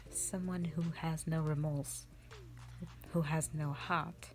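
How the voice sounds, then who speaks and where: A woman playing a strict, commanding mother who leads a warrior band, speaking sternly and deliberately in a roleplay audio drama addressed to the listener. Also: low voice, fairly even intonation, darkish tone. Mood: grave, stern. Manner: stern, deliberate, halting delivery with sighs.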